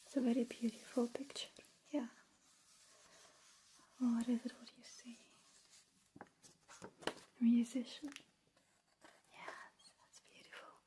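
A woman who is not a native English speaker, whispering softly and reassuringly in an ASMR roleplay as a gentle, friendly alien, with halting phrasing. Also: fairly high pitch, little pitch movement.

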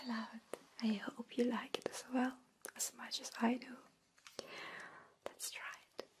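A woman whispering softly and reassuringly in an ASMR roleplay, playing a kind, caring alien examiner. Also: on the high side, flat delivery, slight background noise. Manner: soft, slow, reassuring, with gentle repetition. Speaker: ASMR performer.

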